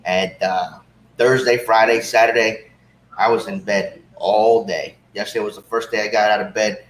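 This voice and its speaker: A man co-hosting a cigar show, slightly hoarse, chatting casually and rambling with many fillers. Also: on the low side, fairly even intonation, normal speed, a little hiss or hum. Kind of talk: casual livestream chat. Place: cigar shop lounge. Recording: roomy shop with faint ambience.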